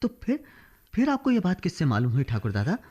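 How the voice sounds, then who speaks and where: A man's voice: sits high, wildly swooping pitch, brisk, a little mellow, lo-fi sound, a little hiss or hum. An actor playing a humble, principled young village father in a Hindi radio drama, deferential and hesitant. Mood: respectful.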